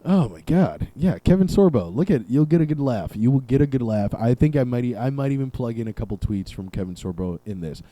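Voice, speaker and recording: A young man talks by himself on his podcast, his voice very dark and mellow. He rambles through sarcastic jokes and swears freely. The recording is dry and close, with no room echo. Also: medium pitch, expressive, a little fast, few pauses, muffled recording. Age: young adult. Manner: sarcastic, rambling, profane riffing. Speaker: podcast host. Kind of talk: solo comedic podcast rant. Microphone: camera and podcast mic.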